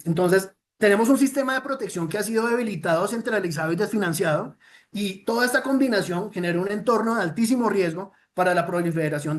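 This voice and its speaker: Colombian man, high-pitched, earnest formal presenter, fillers and restarts. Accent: Colombian Spanish. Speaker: presenter of a child protection report.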